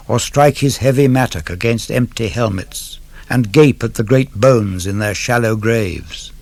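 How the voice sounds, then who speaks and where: A man giving a solemn, measured, declamatory reading of classical poetry for radio. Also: medium pitch, darkish tone, no room sound. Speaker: poetry reader. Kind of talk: dramatic literary reading for radio.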